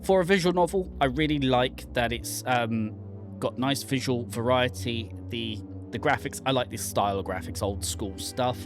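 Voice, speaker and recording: A man's voice: mid-range voice, normal speed, over music. A man hosting a gaming channel, giving casual, wry commentary on a game. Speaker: gaming channel host playing an indie horror demo. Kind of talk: casual game commentary and first-look review.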